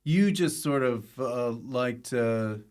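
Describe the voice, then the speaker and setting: A man's voice: medium pitch, expressive, takes it slowly, darkish tone. Casual male podcast host, dry interjections. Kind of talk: casual chat.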